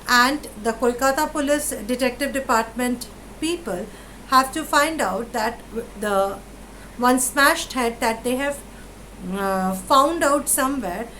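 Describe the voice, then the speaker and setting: Indian english woman, fairly high voice, casual book talk, lots of uh and restarts, faint room hum. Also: a bit bright, poor audio. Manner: hesitant, many fillers and restarts. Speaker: book vlogger.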